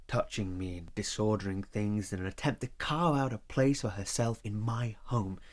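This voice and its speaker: A man's voice: medium pitch, darkish tone, natural voice. Man acting a guarded, reserved loner lover. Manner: measured, reserved, matter-of-fact. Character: guarded, solitary man, ambivalent about love. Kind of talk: audio drama acting.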